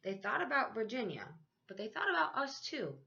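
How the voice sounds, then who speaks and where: A woman teacher reading a children's picture book aloud in a measured, expressive storytelling way, stretching out key phrases. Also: a little high, vocal fry, muffled recording.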